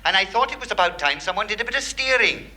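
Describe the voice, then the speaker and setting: An actor playing an insolent, mock-polite naval lookout in a radio sitcom, on a very noisy old recording. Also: male voice, sits high, lively intonation, fast, pushed and bright, exaggerated voice. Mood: cheeky. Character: cheeky, sarcastic naval lookout, leading seaman. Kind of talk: British radio sitcom acting.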